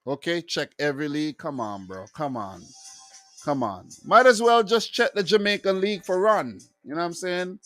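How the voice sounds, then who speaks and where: A man's voice: on the high side, lively intonation, normal speed, a bit bright, no echo, soft background music. A man hosting a football live stream, talking in a loose, joking way with frequent restarts. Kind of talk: casual live-stream chat.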